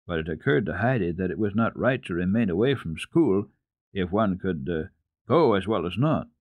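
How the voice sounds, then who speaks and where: A man narrating a children's book as a radio storyteller, in a dramatic, expressive and deliberate style. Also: deep voice, dry recording, narrow, tinny audio.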